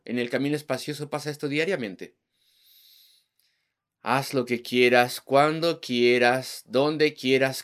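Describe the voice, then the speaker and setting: A man preaching an evangelistic sermon, driving his points home with rhetorical questions and repeated words in lists. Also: mid-range voice.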